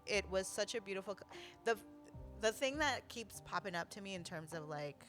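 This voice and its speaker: A woman's voice: medium pitch, lively intonation, moderate pace, a bit bright, faint music behind. A woman co-hosting a casual talk show, effusive and affirming, often circling back to rephrase as she searches for words. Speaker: podcast co-host. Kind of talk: casual, reflective conversation.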